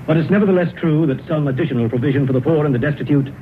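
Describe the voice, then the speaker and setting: Brisk, courteous gentleman, played by a man, old radio. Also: a little high, lightly acted. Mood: earnest, persuasive. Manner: formal, polite, earnest. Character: courteous, earnest gentleman collecting for charity. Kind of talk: 1930s radio acting. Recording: tinny, scratchy 1930s radio broadcast.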